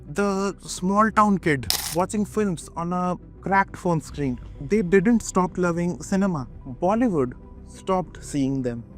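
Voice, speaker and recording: Male video essayist, Indian accent, fairly high register; scripted opinion read with emphatic repetition and dramatic pauses, light music bed. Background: background music.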